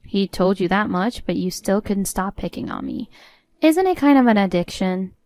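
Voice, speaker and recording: A woman's voice: mid-range voice, lively intonation, moderate pace, a little mellow, natural voice. A woman playing a defiant, sarcastic adult daughter-in-law in a story, composed and matter-of-fact. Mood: smug.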